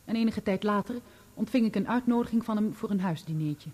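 A composed, loyal woman on the witness stand as an actress plays her. Her voice is soft-edged and mellow, and she answers in measured, formal sentences, in radio drama style. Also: medium pitch, close to an everyday voice. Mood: composed, factual. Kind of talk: Dutch radio play acting.

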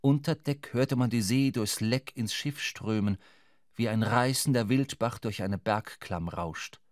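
An actor playing a sombre sailor who narrates a shipwreck in a measured, dramatic literary radio play. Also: male voice, medium pitch, no echo, clean studio sound, natural voice. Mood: grave, dramatic.

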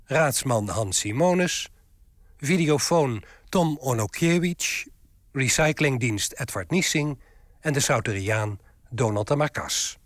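A man reading scripted radio credits as an announcer, formal and measured. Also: medium pitch, animated, no room sound, clean studio sound.

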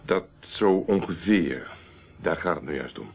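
An actor playing a shrewd, dry, sardonic investigator in a Dutch radio drama, asking insistent questions. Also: male voice, sits low, lively intonation, a little slow, gravelly, slightly rough, heavily acted. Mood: probing. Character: shrewd, sardonic male investigator, probing and unafraid. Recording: phone-like old radio recording, faint noise.

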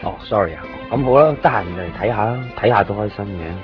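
A man acting an eager, chatty toy shop owner in a radio drama, rambling excitedly in Hong Kong Cantonese. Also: fairly low pitch, fast talker, muffled recording. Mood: gentle, hospitable. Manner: excitable, rambling, fond of showing off toys. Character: eager, chatty male second-hand toy shop owner, kind to customers. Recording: band-limited 1990s radio recording with faint music.

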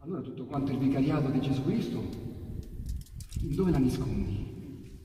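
Un uomo con accento romanesco che recita sonetti dialettali in modo teatrale, passando da esclamazioni forti a sussurri bassi. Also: male voice, animated, moderate pace. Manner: dramatic, swinging from loud outbursts to hushed low asides. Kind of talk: theatrical poetry recitation. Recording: echoey hall, distant mic, faint hiss.

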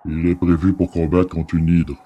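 Un homme jouant un garde placide, très grave. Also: male voice, low voice, flat delivery, normal speed, warm tone, slight background noise, voice pushed down, close to an everyday voice. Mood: placid, unimpressed. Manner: placid, matter-of-fact. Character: calm, unimpressed prison guard, tough brute.

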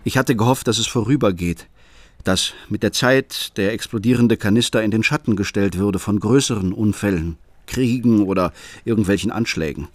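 An actor narrating a radio play as a troubled father, speaking in a calm, reflective, confessional way. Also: on the low side, normal speed, no echo, natural voice. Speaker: first-person narrator.

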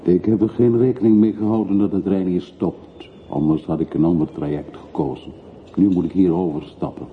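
Low-voiced, guarded middle-aged traveller played by a man. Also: steady tone, moderate pace, lightly acted. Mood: guarded, reluctant. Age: 40s. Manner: terse, guarded. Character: reserved, brooding traveller of forty-three, reluctantly back home. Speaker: actor in a radio play. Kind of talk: radio drama acting. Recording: muffled narrowband radio recording with hiss.

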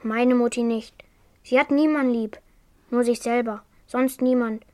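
Actress as a withdrawn young girl, highish voice, terse bitter answers, dry studio sound, radio crime drama. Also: steady tone, a little slow, warm tone, no echo, natural voice. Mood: bitter, hurt. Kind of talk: German crime radio play acting.

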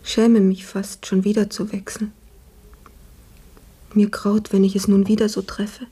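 Husky, whispering sick young maidservant. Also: female voice, mid-range voice, little pitch movement, warm tone. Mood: weary, faint. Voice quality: husky, breathy, often falling to a whisper. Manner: slow, subdued, halting. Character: gravely ill young maidservant Marie, weary and self-effacing. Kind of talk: literary radio drama acting.

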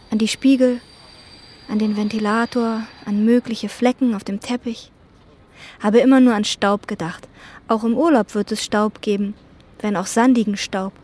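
An actress playing a quiet, obsessive hotel chambermaid who speaks in slow, introspective radio-drama monologue. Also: female voice, fairly high pitch, steady tone, close to an everyday voice. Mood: brooding. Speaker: narrator and lead character.

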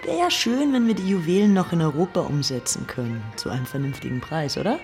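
Low-voiced, cheeky woman robber. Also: animated, quick pace, a little mellow, faint music behind. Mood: breezy. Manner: sassy, slangy, casual. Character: cheeky, laid-back, worldly woman bank robber. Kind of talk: German radio drama dialogue.

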